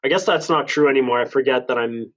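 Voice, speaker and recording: A man's voice: medium pitch, fast, fairly bright tone, dull audio. A man in his twenties from Canada, chatting as a podcast guest, rambling and correcting himself as he goes, laughing at himself now and then. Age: 20s. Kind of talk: casual chat.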